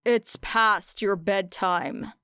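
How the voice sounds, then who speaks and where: A woman voicing a gruff, irritable male vigilante who mutters curt, foul-mouthed lines in an audiobook. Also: mid-range voice, extremely slow, bright, forceful tone, no echo, clean studio sound, exaggerated voice. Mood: guarded, curt. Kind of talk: audiobook character dialogue.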